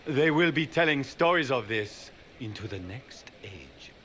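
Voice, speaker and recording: An actor playing a scheming grand duke who woos allies with smooth, persuasive speech in a game cutscene. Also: male voice, mid-range voice, expressive, unhurried, a bit bright, a little hiss or hum. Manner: smooth, persuasive, theatrical emphasis. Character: scheming, charming noble grand duke, middle-aged man. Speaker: grand duke seeking the throne. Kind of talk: video game cutscene dialogue.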